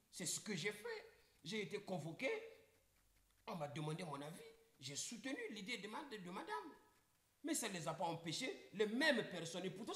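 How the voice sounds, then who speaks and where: A middle-aged Congolese man holds forth on a live stream in an emphatic, rhetorical way. His pitch sits high for a man and leaps around a lot. The room around him rings with echo. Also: a bit bright. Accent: Congolese French. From Republic of the Congo. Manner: emphatic, rhetorical, repeats points. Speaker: livestream guest. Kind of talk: impassioned live talk. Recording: echoey room.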